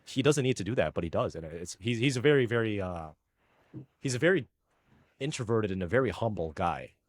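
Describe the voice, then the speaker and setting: Man, Filipino, emphatic and excited, low-pitched voice. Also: fairly quick, no room sound, studio quality. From United States. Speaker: tennis coach and channel host. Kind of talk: casual chat.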